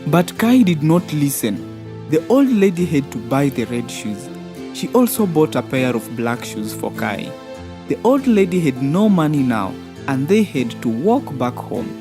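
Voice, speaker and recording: A woman's voice: low-pitched, big pitch swings, a little slow. A woman narrating a scripted fairy tale for children as a storyteller. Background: soft background music.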